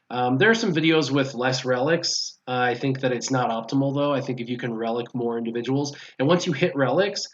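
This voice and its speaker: A man chatting casually as a guest on a gaming stream, explaining things step by step as he answers viewers' questions. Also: medium pitch. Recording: dull lo-fi stream audio.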